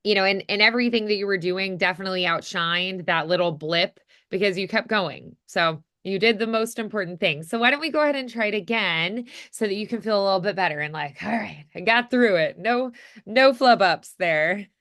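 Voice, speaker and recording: A woman with a bright, crisp, smooth voice at an average pitch. She coaches acting casually, offering effusive encouragement in a conversational way. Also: brisk.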